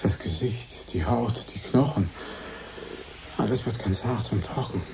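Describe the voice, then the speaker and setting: An actor playing a tormented painter in a radio drama, speaking in halting, anguished phrases. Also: male voice, fairly low pitch. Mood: haunted, dread. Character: tormented, haunted male painter plagued by nightmares. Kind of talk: 1980s German radio drama acting. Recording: clear background noise.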